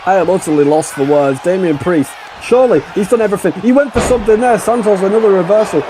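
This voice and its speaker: A man calling a wrestling match play by play, excitable and often shouting over the action. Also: high voice, fast. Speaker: play-by-play commentator. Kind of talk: wrestling commentary. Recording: loud crowd and noise behind.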